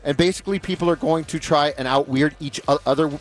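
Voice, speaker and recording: A man's voice: a little high, fast. A man co-hosting a sports radio show, bantering dryly and telling stories with animation. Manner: dry, bantering, animated storytelling. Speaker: radio co-host. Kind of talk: casual sports radio chat.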